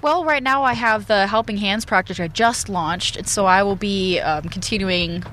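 A woman's voice: mid-range voice, moderate pace, a bit bright, slight background noise. A woman talking as a guest on a podcast, chatty and enthusiastic, with many fillers. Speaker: guest YouTuber. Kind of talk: casual podcast chat. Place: outdoors at a shooting range.

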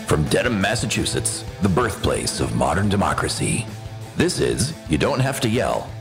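A man reading a dramatic podcast intro as announcer, slow and grand. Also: low-pitched, big pitch swings, gravelly. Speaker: show announcer. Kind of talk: dramatic show intro voice-over. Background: plucked guitar music.

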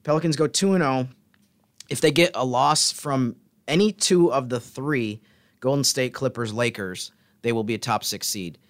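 A man's voice: medium pitch, moderate pace, dry recording. A man co-hosting a sports podcast as stats analyst, explaining things casually and methodically.

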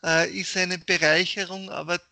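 A male interviewer who asks probing questions in a measured, formal way, building each question slowly. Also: high-pitched, level tone, creaky, bright, forceful tone, dry recording.

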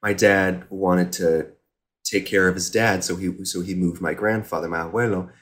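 A man's voice: sits low, steady tone, normal speed, fairly bright tone. An American man from Indiana, a podcast guest, telling personal stories casually and reflectively, often interrupting himself.

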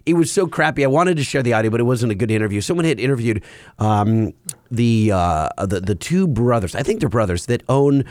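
A hoarse man co-hosting a podcast, chatting casually and rambling through restarts. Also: mid-range voice, expressive, a little fast, darkish tone, no room sound, clean studio sound. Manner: rambling, self-correcting. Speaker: podcast co-host. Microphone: headphones and studio mic.

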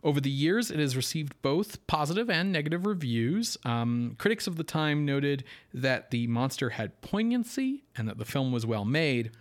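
A man co-hosting a film podcast, explaining things in a measured way as he moves between prepared notes and discussion. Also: a little high, animated. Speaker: podcast co-host.

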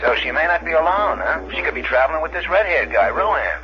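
An actor playing a casual, brisk police colleague making a phone call in a 1970s radio drama. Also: male voice, a little high, expressive, soft background music. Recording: dull vintage 1970s radio recording.

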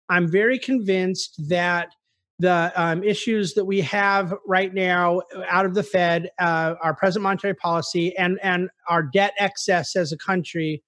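Guy with a pretty high voice doing market commentary on an investor call, explains stuff clearly and firmly. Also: a bit bright. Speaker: chief investment officer answering investor questions.

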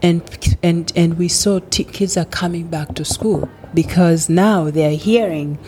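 A woman from Tanzania, a guest in an interview, talking in an animated way with quick laughs and many fillers. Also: fairly low pitch, slight background noise. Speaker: edtech guest. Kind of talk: casual interview chat.

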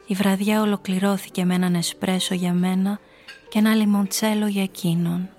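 An actress playing a calculating seductress who narrates her own story in a radio drama, measured and intimate. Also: mid-range voice, flat delivery, very noisy, lightly acted. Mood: watchful, calculating.

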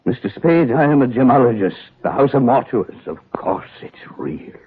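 Through a thin, tinny 1940s radio recording comes a self-important gem expert. The voice is lowish and badly husky, swinging extravagantly in pitch. He talks in florid, courtly phrases and repeats them with pride. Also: very animated, moderate pace. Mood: proud, reverent. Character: fussy, pompous gemologist quoting Latin, theatrically proud. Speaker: actor in a radio detective drama.

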